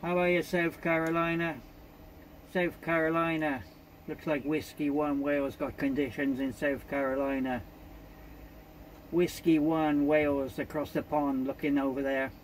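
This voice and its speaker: A man's voice: a little high, little pitch movement, a little slow, rough recording. British man making CB calls amid static. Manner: repeats callsigns and phrases. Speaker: CB radio operator. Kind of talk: CB radio contact calls. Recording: CB radio static and noise.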